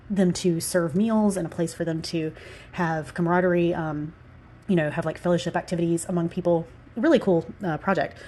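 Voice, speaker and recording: A woman's voice: fairly low pitch, warm tone, breathy edge. A woman in her thirties from the American South, congested with allergies and a little out of breath, rambling casually with many fillers while she talks through a building design. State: slightly out of breath. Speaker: Sims builder and architect.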